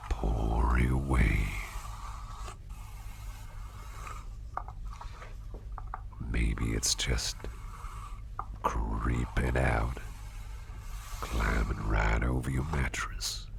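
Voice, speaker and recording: A man playing a gentle, doting businessman boss in an ASMR roleplay, murmuring soothingly in a gravelly, breathy half-whisper. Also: a bass voice, little pitch movement, very slow, long pauses, background noise, full character voice. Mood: soothing, tender. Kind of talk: ASMR roleplay, personal attention.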